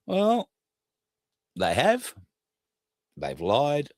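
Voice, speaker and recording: An Australian man with a scratchy, hoarse throat, hosting a casual talk show, plain-spoken and indignant, pausing often with fillers. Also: fairly high pitch, huge pitch swings, a little mellow, no echo, studio quality. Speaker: show host.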